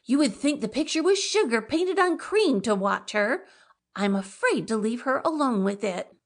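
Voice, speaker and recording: A high-pitched, fussy housekeeper, acted by a woman in a storybook reading. The manner is deferential and eager, that of a servant talking to an employer. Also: lively intonation, moderate pace. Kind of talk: dramatized audiobook reading.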